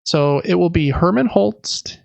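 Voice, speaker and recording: A man's voice: high voice, lively intonation, a little slow, creaky, darkish tone, no room sound, lo-fi sound. A man hosting a podcast, casually recapping news in a conversational way with explanatory asides. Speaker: podcast host.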